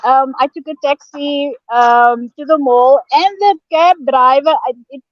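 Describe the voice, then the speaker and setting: A woman's voice: sits high, a little slow, no room sound. A woman on a video call, flustered and apologetic. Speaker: guest. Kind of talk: casual chat on a video call. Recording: dry, dull lo-fi call audio.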